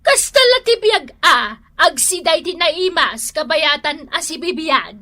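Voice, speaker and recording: High, bright, boastful gossip. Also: female voice, big pitch swings, measured pace, full character voice. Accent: Ilocano. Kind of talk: Ilocano comedy radio drama acting.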